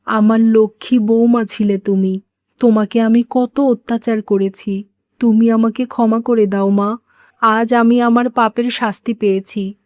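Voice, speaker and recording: A woman's voice: fairly high pitch, steady tone, unhurried, a little mellow, no echo, lightly acted. A woman playing a remorseful, wounded elderly mother-in-law in a cartoon moral story, speaking in a plaintive, self-blaming way. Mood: remorseful, pleading.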